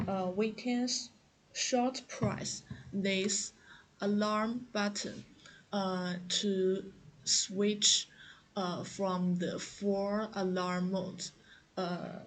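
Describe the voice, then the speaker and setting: Female product demonstrator, unhurried and hesitant, repeating herself as she explains, over audible background noise. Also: mid-range voice, poor audio. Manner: halting, hesitant delivery with frequent repeats and fillers.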